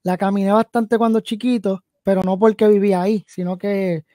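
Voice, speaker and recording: Soft-voiced man chatting over a video call. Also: sits high, steady tone, a little fast, no echo, studio quality. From New Haven, Connecticut, USA. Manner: rambling, thinks aloud with many fillers. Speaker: guest musician and producer. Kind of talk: casual interview chat. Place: home music studio. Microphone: headset and video call.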